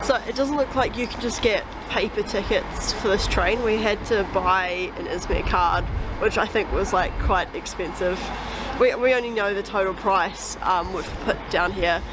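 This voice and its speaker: A woman's voice: medium pitch, normal speed. A young woman, narrating a travel vlog in an upbeat, chatty way with casual fillers, recorded outdoors on a handheld camera. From New Zealand. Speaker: travel vlogger. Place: outdoors in a city. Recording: street noise outdoors.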